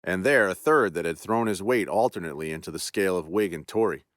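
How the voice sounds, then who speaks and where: A man hosting a podcast and narrating a classic ghost story in a measured, theatrical way. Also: sits low, fairly bright tone, dry recording, clean studio sound.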